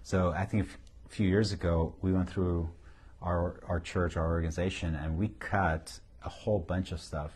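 A man's voice: deep voice, level tone, moderate pace, a little mellow, breathy edge. A middle-aged man with a Syrian accent, a pastor talking casually and emphatically about ministry, recorded on a lapel mic in an office. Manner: emphatic, repeats key phrases, chuckles. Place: indoor office, seated on couch.

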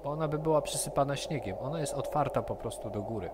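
A man narrating as game master in a steady, middle-pitched voice, laying out each scene patiently, his speech picked up over an online call with a heavy layer of hiss and noise beneath it. He describes places and dangers with calm detail. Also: quick pace. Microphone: computer headset or desk mic, video call. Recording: noisy online session audio.

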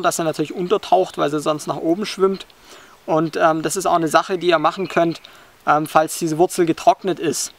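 Calm young man teaching outdoors. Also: on the high side. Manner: calm, explanatory, many "ähm" fillers. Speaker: bushcraft instructor. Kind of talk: hands-on outdoor tutorial. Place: outdoors in a forest, sitting on the ground.

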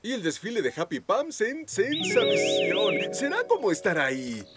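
An actor playing an excitable, stubborn, childish male hippo in a children's cartoon dub, exclaiming and whining defensively. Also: high-pitched, moderate pace. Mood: thrilled. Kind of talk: Spanish-dubbed children's cartoon acting. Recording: music under the voice.

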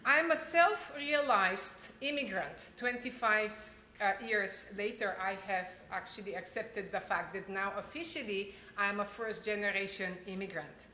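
A woman's voice: mid-range voice, a little slow, a little hiss or hum. A woman, a history professor introducing a speaker with many hesitations. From Bulgaria. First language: Bulgarian. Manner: warm thanks with frequent uh fillers. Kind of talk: speech introduction. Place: large lecture hall, at a podium. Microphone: podium microphone. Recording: echoey hall.

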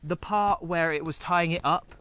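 A man's voice: fairly high pitch, normal speed, bright, forceful tone. A man, talking casually about a film while walking outdoors. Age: 20s. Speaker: film review vlogger. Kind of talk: casual film review chat. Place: outdoors, walking on a woodland path.

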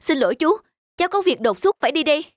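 A man voicing a carefree young husband in an animated drama, speaking in a casual, expressive way. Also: extremely high pitch, quick pace. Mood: anxious. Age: young adult. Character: carefree young husband, easily irritated by his wife. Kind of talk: animated moral drama dubbing.

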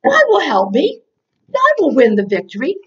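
Lady doing a brave kid shepherd, really high n swoopy, indignant, muffled mic. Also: normal speed, full character voice. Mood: bold, determined. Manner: excited, stammering, insistent. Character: bold indignant shepherd boy, eager and faithful. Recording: dull, distant-mic recording.

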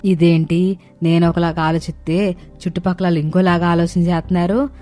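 A woman voicing a scolding older mother-in-law in an animated story, exasperated and colloquial. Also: fairly low pitch, a little fast, natural voice. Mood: puzzled. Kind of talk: animated moral story dialogue.

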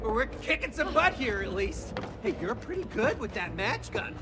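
An excitable little doll man from a video game, with a high voice that swoops around. He is exclamatory and jokey, and loud music plays behind him. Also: normal speed. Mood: jokey, excited. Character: small wooden-doll husband, excitable, jokey nature lover. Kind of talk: animated video game dialogue.

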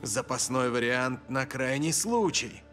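A man voicing a proud, touchy star footballer in an animated cartoon, cocky and emotional. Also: mid-range voice, a little slow, faint music behind. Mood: dejected.

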